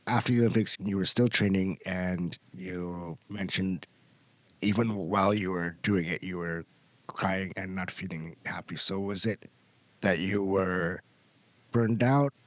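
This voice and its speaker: A man's voice: low voice, steady tone, measured pace, no room sound. A man with an American accent, hosting a podcast and asking probing follow-up questions. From United States. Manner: probing, restates guest's points. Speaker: podcast host. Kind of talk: interview questions.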